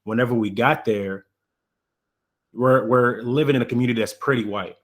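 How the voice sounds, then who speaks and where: A man's voice: medium pitch, normal speed. A man from Congo hosting a podcast, talking casually and supportively, often repeating a word as he starts a thought. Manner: casual and affirming, repeats words when starting. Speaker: podcast host. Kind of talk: casual conversational interview.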